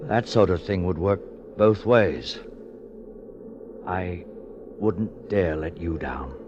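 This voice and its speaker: An actor playing a grave, despairing male mountaineer in a 1940s radio drama, halting and subdued. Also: low-pitched, lively intonation. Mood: tender resolve. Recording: muffled, very noisy 1940s radio recording.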